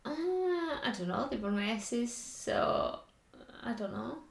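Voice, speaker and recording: A woman's voice: fairly high pitch, big pitch swings, slow, gravelly, a crowd in the background. A woman co-hosting a podcast, reading out listener questions and giggling in casual chat. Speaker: podcast co-host. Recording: echoey room.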